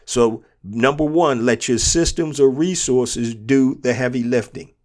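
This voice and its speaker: A man hosting a motivational business podcast, speaking through a cold with a stuffed-up nose and a sore throat. His voice sits at an ordinary middle pitch for a man. He talks earnestly and repeats each key point so that it lands. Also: a little slow, darkish tone. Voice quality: congested. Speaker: podcast host and business coach.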